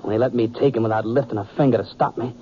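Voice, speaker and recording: An actor playing a proud, wounded young sheriff in a radio western, terse, earnest and intense. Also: male voice, medium pitch, fairly even intonation, racing, warm tone, natural voice. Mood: bitter, resigned. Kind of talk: 1950s radio western acting. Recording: muffled, noisy 1950s radio recording.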